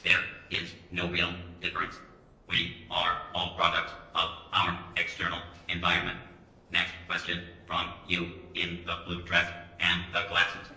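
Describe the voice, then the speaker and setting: An actor playing an earnest, polite early robot in a radio drama, speaking in a measured, formal, literal way. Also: male voice, sits low, completely flat delivery, bright, forceful tone, slight background noise, full character voice. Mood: polite, matter-of-fact. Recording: roomy echo.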